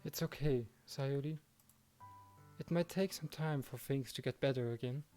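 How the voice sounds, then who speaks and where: A man plays a devoted teenage boy in love in a visual novel. His voice is mellow and mid-pitched, gentle and sincerely reassuring. There is soft music underneath. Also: moderate pace, natural voice. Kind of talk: visual novel read-aloud.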